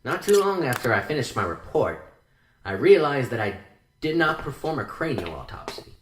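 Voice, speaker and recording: Man acting an animated, morbidly chatty mortician. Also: mid-range voice, moderate pace, background noise, natural voice. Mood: eagerly morbid. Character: seasoned small-town male mortician, animated, morbidly chatty. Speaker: actor in a student radio drama. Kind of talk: radio drama acting. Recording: roomy echo with clear music behind. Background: music.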